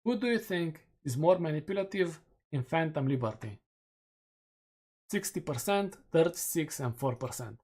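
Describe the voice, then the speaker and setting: A man's voice: fairly high pitch, animated, normal speed, darkish tone, slightly rough. A man with a Romanian accent, a streamer casually going over community poll results with self-mocking jokes. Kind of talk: casual chat reading community polls.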